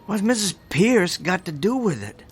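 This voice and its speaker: A man's voice: high voice, very animated, normal speed, faint music behind. A man acting in a radio drama as an anxious, self-pitying younger brother and small-time gambler, agitated and slangy. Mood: doubtful, defensive.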